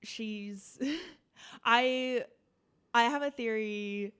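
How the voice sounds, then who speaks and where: A woman's voice: on the high side, fairly even intonation, extremely slow, fairly bright tone, clean tone. A young adult woman, a comedian guest, chatting casually and rambling with lots of filler words. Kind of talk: casual podcast chat.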